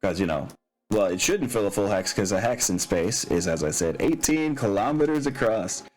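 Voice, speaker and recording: A man's voice: fairly low pitch, animated, moderate pace, darkish tone. A man acting as game master, explaining tabletop mechanics casually and thinking aloud with some hesitation. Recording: music playing behind. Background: background music.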